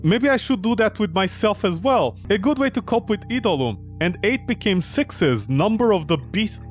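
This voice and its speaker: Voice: mid-range voice, expressive, moderate pace. A game streamer reading a visual novel aloud and reacting to it in an exasperated, incredulous, theatrical way. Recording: background music under the voice. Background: music.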